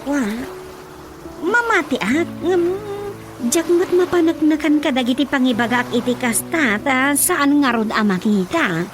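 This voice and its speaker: A woman playing a curious, excitable young daughter in an Ilocano radio comedy-drama, speaking with an Ilocano accent in an exclamatory way. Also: high voice, a little slow. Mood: curious, doubtful. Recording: music bed behind the voice.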